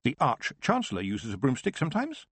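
An earnest young witch, sure of her gift yet torn inside, as a male reader voices her. The voice sits raised above his own and stays husky and heartfelt. It is recorded close and dry. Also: mid-range voice, wildly swooping pitch, fairly quick, a bit bright, no room sound, pitched above the performer's own voice, exaggerated voice. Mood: earnest, confident.

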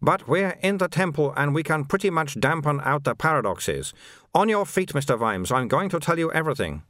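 Man playing a high, lilting, chatty monk. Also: big pitch swings, brisk, fairly bright tone, no room sound, clean studio sound. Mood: chatty, matter-of-fact. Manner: casual, soothing, lightly teasing explanations. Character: calm, chatty, placating monk guide, older man. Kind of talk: audiobook character dialogue.

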